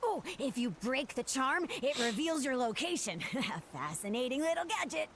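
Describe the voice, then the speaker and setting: A woman's voice: sits high, very animated, moderate pace, a bit bright, slight background noise. A woman voicing a cheerful, bubbly gadget-loving villager in a fantasy video game. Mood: delighted, explaining.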